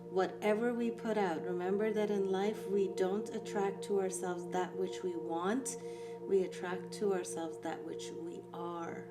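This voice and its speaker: Calm woman teaching over soft music. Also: on the low side. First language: Farsi. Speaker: art therapy instructor. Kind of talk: guided art lesson talk.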